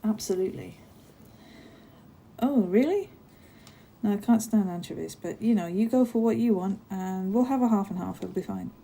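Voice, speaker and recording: A woman's voice: medium pitch, moderate pace, vocal fry, a little hiss or hum, natural voice. A woman playing a friendly, chatty giantess office worker in an ASMR roleplay, caring and a little hesitant as she talks to a tiny colleague. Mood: chatty, relaxed. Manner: bubbly, caring, apologetic, hesitant with soft laughs. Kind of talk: ASMR roleplay, one-sided conversation.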